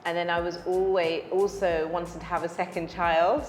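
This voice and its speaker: A woman's voice: on the low side, little pitch movement, normal speed. A woman from the UK, a guest chatting casually and rambling with many fillers and restarts. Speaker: interview guest. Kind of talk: casual conversational storytelling. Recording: roomy reverberant room with faint music.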